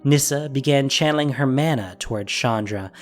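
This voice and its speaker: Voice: mid-range voice, normal speed, faint music behind. A narrator reading a scripted fantasy story in a dramatic audio drama style.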